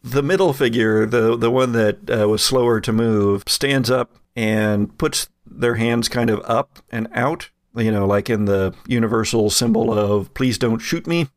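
A man narrating an improvised tabletop game as game master, telling it in a halting, deliberate way. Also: mid-range voice, fairly even intonation, no echo, studio quality.

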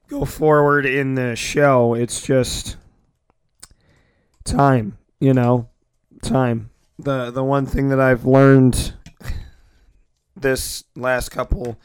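Hoarse man hosting a sports radio show. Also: mid-range voice, no room sound, clean studio sound. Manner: earnest, repetitive, grateful, many restarts. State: losing his voice, hoarse. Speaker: sports talk radio host. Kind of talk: solo internet radio show monologue.

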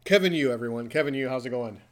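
A man's voice: mid-range voice, quick pace. A man in his forties hosting a podcast from a hotel room, chatty and joking with his co-host. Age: 40s. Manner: joking, chatty, playful ribbing. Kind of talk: casual podcast chat.

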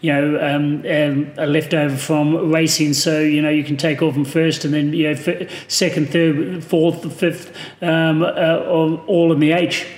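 A man with a New Zealand accent, a guest on a casual podcast, talking haltingly with many ums and restarts. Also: on the high side, level tone, echoey room. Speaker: podcast guest, car owner.

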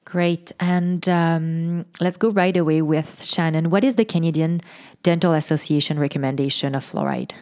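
A woman's voice: low-pitched, normal speed, darkish tone. A woman hosting a podcast panel, guiding it with friendly questions. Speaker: podcast host. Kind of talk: moderating a panel.

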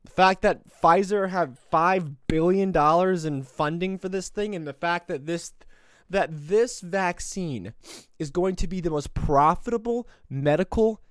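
A man's voice: high-pitched, a little slow, clean tone, no room sound, studio quality. A man in his twenties hosting a solo podcast, talking in a sarcastic, combative, rambling way. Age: 20s. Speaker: podcast host. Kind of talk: opinionated solo commentary.

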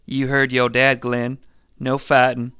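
Matter-of-fact storybook nurse read by a man, scolding, unflustered, clear and dry. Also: medium pitch, monotone, a little slow, sharp tone, dry recording. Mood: firm.

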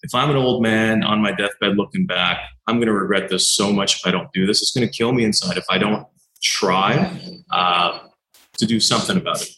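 A doctor and founder in his thirties, telling stories in an animated way and acting out quoted exchanges, with lots of "you know" fillers. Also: lowish voice, normal speed, echoey room, low-quality recording. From Canada. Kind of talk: conversational interview answers.

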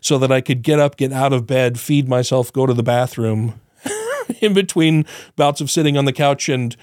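A man chatting casually on a podcast, his voice still a little congested and nasal. He rambles, swears freely and laughs often. His voice is medium-pitched, recorded close and dry. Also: sing-song, moderate pace, darkish tone, no echo. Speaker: podcast co-host. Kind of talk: casual chat.

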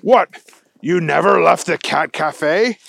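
Man putting on a hapless, high-voiced special agent. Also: takes it slowly, vocal fry, fairly bright tone, no echo, full character voice. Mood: bewildered. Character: confused, hapless male special agent, earnest. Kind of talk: children's storybook performance.

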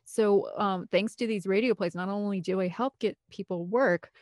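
A woman's voice: medium pitch, normal speed, darkish tone, no room sound. A woman chatting as an interview guest, talkative and keen to explain things in a casual, conversational way. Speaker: guest, film composer and director.

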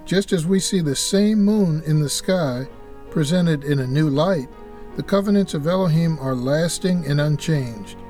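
A man's voice: a little high, a little slow, dark tone, soft background music. A man co-hosting a religious podcast, reading an earnest, preacherly script. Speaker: podcast co-host.

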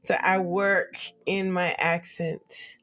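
A woman's voice: fairly low pitch, flat delivery, fairly bright tone. A woman chatting casually as a co-host, reading viewer comments and laughing often. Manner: slow, giggly, tries playful accents. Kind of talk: casual chat.

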